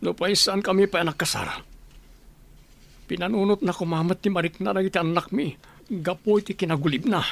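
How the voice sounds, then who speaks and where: A man's voice: sits high, fairly even intonation, brisk, vocal fry, pauses often. A man playing a heartbroken, abandoned husband and father in an Ilocano radio drama, lamenting his hurt. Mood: bitter, hurt. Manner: anguished, lamenting.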